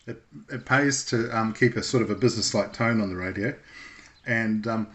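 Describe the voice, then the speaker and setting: Male instructor, lowish voice, unscripted explainer delivery, measured and slightly hesitant, pausing to think between phrases. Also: fairly bright tone. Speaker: instructor presenting a radio how-to video. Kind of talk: informal unscripted tutorial.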